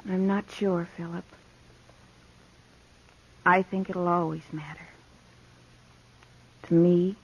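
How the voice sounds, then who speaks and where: Husky, wistful saloon girl. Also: lowish voice, flat delivery, takes it slowly, pauses often, heavily acted. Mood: wary, hurt. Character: hurt, wistful young saloon woman, quietly proud. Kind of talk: 1950s radio western acting. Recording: dull 1950s radio recording.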